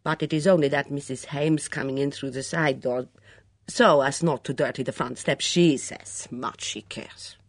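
Sullen foreign cook with an accent, melodramatic and self-pitying, quick and swinging in pitch, dry close sound , put on by the reader. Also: female voice, lively intonation, no echo. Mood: resentful. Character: sullen foreign woman cook, dramatic, suspicious.

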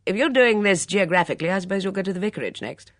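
A woman reader acts out a brusque, commanding countrywoman in an audiobook. She is blunt and loud, with clipped, no-nonsense phrasing. Her pitch moves around boldly in a close, dry recording. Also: expressive, racing, no room sound, lightly acted. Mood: dry, bossy. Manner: blunt, bellowing, clipped upper-class slang.

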